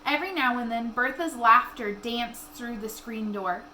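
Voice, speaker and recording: A woman reads a children's novel aloud with expression. Her voice sits high and has a bright, forward edge. There is faint noise behind her. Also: moderate pace, low-quality recording. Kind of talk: expressive read-aloud storytelling.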